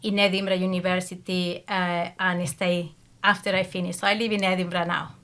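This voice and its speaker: A woman's voice: fairly low pitch, level tone, fairly quick, fairly bright tone. A woman from Argentina who speaks English with an Argentine Spanish accent. She is a guest on a podcast and talks earnestly, a little self-conscious about her English, laughing easily. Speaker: guest, PhD coach. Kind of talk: podcast interview.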